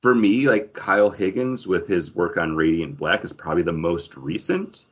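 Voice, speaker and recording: A man with a low-pitched voice co-hosts a casual podcast discussion. He explains his thoughts conversationally, as in a group chat. The audio is slightly dull and band-limited. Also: moderate pace. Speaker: podcast co-host.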